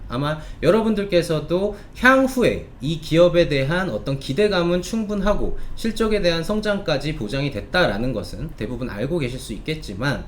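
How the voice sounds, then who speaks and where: Lively, higher-pitched male stock show presenter. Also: a little hiss or hum. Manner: polite, explanatory, stretches key words for emphasis. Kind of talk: presenter-style stock market commentary with sales pitch.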